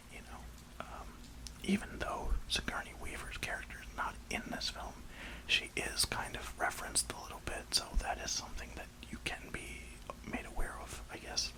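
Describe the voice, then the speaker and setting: A reviewer whispering an enthusiastic, rambling ASMR film review in a breathy, unvoiced voice. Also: poor audio. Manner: enthusiastic, rambling, emphatic repetition.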